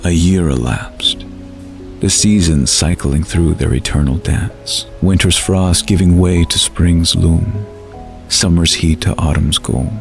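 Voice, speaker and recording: Male narrator, very low pitch, creaky gravel, measured dramatic fantasy storytelling, faint music.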